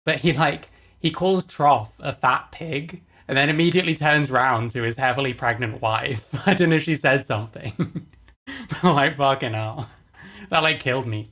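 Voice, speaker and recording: A man's voice: mid-range voice, normal speed, a bit bright. An amused man giving casual, mocking livestream commentary and swearing freely.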